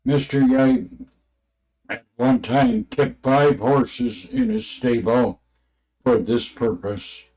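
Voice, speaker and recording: Halting man reading aloud, gravelly and mellow. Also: mid-range voice, expressive, rough recording. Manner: halting, stumbling over words and names. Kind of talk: halting read-aloud of religious text.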